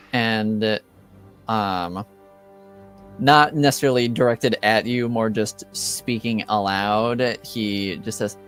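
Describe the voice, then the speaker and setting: A man improvising narration as a tabletop game master, halting as he searches for words. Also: on the low side.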